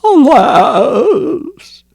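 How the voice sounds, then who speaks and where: A woman voicing a blustering, cowardly brigand chief in a storybook reading, loud and wailing. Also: high-pitched, sing-song, extremely slow, creaky, slightly rough, dry recording, studio quality, voice pushed up, exaggerated voice.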